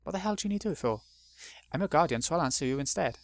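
A man's voice: a little high, lively intonation, fast, voice pushed up. A man voicing a bullying, abusive uncle who sneers and turns falsely sweet. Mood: belligerent.

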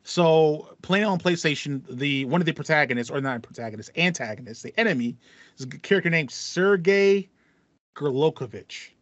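A man's voice: fairly high pitch, lively intonation, normal speed, fairly bright tone, dry recording, dull audio. A man in his thirties with an American accent, co-hosting a casual podcast chat with slangy, storytelling asides. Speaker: podcast co-host. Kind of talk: casual chat about video games. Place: small home room with foam wall panels. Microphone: headset, video call.

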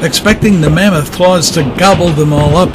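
A man's voice: a little high, normal speed, heavy background noise. A man narrating a dramatized audiobook in a dramatic scripted style, with music underneath.

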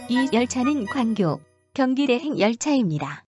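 A woman reading scripted subway announcements as a train announcer, formally and with measured enunciation. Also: fairly high pitch, sing-song, over loud music.

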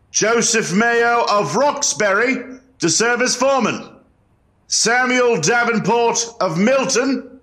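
Man playing a slow, solemn colonial court clerk. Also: high-pitched, a bit bright, heavily acted. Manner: ceremonious, measured, declamatory. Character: solemn, formal colonial Boston court clerk reading the charge. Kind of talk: historical courtroom reenactment, formal proclamation.

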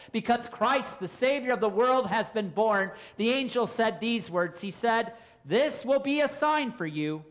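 Casting: male preacher, forties, clear teaching sermon delivery, emphatic, posing questions to listeners. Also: high voice, a bit bright. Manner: deliberate, emphatic, explanatory. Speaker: Lutheran pastor. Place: church, at a pulpit indoors.

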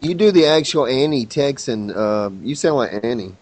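A man's voice: medium pitch, lively intonation, moderate pace. A man in loose, rambling banter on a group voice call. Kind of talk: casual group voice chat.

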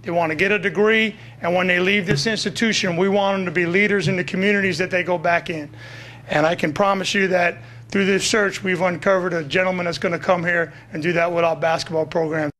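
A man's voice: sits high, fairly even intonation, fairly quick, fairly bright tone. A man in his forties, a college sports official, giving a prepared speech at a podium microphone indoors. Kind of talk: prepared press conference speech. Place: indoor press conference room.

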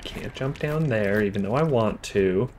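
A man's voice: on the low side, lively intonation, unhurried, a little mellow, slight background noise. A man chatting casually and playfully while playing a co-op video game, often exclaiming. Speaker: let's player. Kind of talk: casual co-op gameplay chat. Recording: game music behind the voice. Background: video game music.

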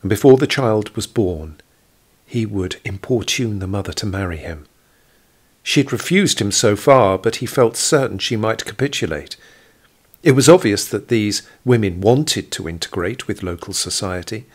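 A man reading scripted literary narration for an audio drama in a measured storytelling manner, with a husky quality. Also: on the low side, animated.

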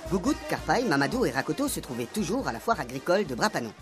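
A woman tells a comic radio serial with a lot of movement in her voice. She speaks in a lowish register and moves quickly through the story. It is a lively, storytelling delivery. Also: over music. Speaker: narrator.

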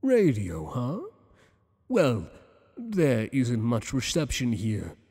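A man's voice: mid-range voice, wildly swooping pitch, dark tone, slightly rough, big-room sound, heavily acted. A man voicing a teasing, possessive monster boss. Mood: flirtatious. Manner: teasing, flirtatious, slow and intimate. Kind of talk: ASMR roleplay.

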